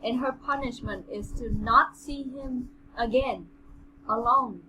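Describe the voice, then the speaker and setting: A woman's voice: on the high side, slow pace, noisy background. A woman co-hosting a casual read-along podcast, talking in an earnest, rambling, hesitant way as she reads and comments. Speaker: podcast co-host reading a novel aloud. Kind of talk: casual chat and amateur read-aloud with commentary.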